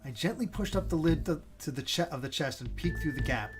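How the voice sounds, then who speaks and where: A man's voice: fairly high pitch, animated, brisk, over music. A man reading visual novel narration aloud like a storyteller, occasionally stumbling over the text. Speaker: gaming video co-host and narrator.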